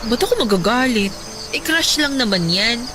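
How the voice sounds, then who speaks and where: A woman's voice: a little high, expressive, moderate pace, background noise, close to an everyday voice. A woman acting as Jade, a frank, understanding, mature young woman in a Tagalog radio drama, with a Filipino accent and a reassuring manner. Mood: gentle, frank. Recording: music behind the voice.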